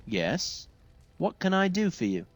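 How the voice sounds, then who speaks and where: A formal, dutiful young squire. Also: male voice, sits high, very animated, unhurried, no echo, exaggerated voice. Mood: earnest. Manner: formal and earnest.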